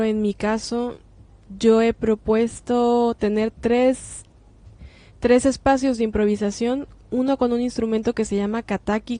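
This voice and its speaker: A woman with a Mexican accent, a composer answering interview questions in an earnest way, pausing often to search for words. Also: medium pitch, dark tone, no echo. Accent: Mexican Spanish.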